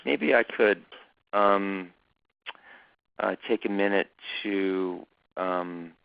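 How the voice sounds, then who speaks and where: Very deep gravelly man, hesitant. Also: no room sound. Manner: measured, hesitant, many fillers. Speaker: foundation chairman and host. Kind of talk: conversational webinar talk. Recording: dull lo-fi dry recording.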